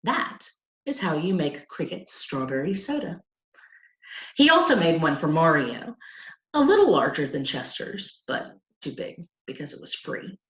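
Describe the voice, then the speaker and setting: A woman reading a children's novel aloud as a storyteller, with an animated, deliberate delivery. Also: lowish voice. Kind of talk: expressive scripted audiobook narration for children. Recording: roomy, dull lo-fi home recording.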